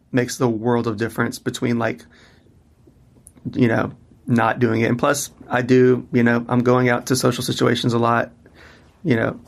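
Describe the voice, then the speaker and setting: A man's voice: mid-range voice, gravelly. A man in his thirties or forties, a musician, chatting loosely in an interview with lots of restarts. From Mississippi, US. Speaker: musician guest. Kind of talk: casual interview conversation.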